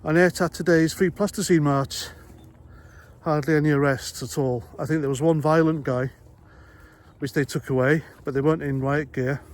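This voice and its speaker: A man's voice: a little high, steady tone, normal speed. A man from Wales with a Welsh accent, an ex-army vlogger giving a blunt, conversational opinion monologue full of rhetorical questions.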